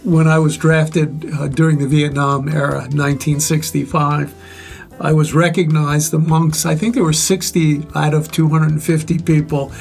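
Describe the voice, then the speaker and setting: Older man telling stories, measured. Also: a little high, little pitch movement, creaky, faint music behind. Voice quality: relatively loud voice. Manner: measured, pausing often with "uh". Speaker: guest sharing life advice. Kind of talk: reflective conversational storytelling.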